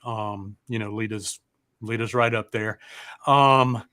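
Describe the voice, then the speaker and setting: A man's voice: fairly low pitch, steady tone, takes it slowly, sharp tone, dry recording. A man co-hosting a casual chat show, rambling along in a folksy, informal way. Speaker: show co-host.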